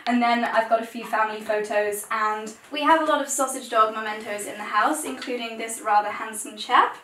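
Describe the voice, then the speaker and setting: A woman's voice: fairly high pitch, a bit bright. A young woman with an English accent, giving an informal, chatty house tour to camera from indoor rooms. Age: young adult. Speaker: lifestyle vlogger and host. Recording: echoey rooms, distant mic, some noise.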